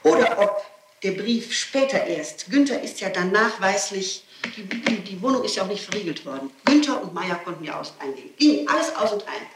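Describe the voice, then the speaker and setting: A woman's voice: medium pitch, animated, moderate pace. A woman speaks on an old interrogation tape, sounding distant in an echoing room with faint hiss. She is insistent and agitated, firing off rhetorical questions. Her sentences often break off and start again as she pushes her points. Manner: digressive, breaks off and restarts sentences. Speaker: person being questioned by police. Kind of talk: spontaneous statement in an interrogation. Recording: old archive tape, roomy, distant, hissy.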